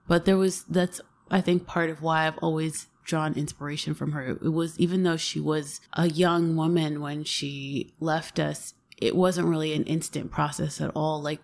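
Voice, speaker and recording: A woman's voice: low voice, creaky, darkish tone. A woman, a singer, chatting thoughtfully in an interview, often restarting her sentences. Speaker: singer and guest. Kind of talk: casual interview chat.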